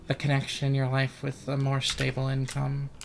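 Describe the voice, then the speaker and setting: A let's player giving casual, rambling gaming commentary, profane and deadpan, with keys clicking. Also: mid-range voice, moderate pace, vocal fry, slight background noise. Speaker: let's play host.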